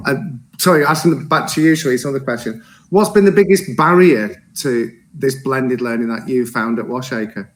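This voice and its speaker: A man's voice: medium pitch, moderate pace. A man hosting an online panel discussion, upbeat and full of praise. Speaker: host. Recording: echoey room.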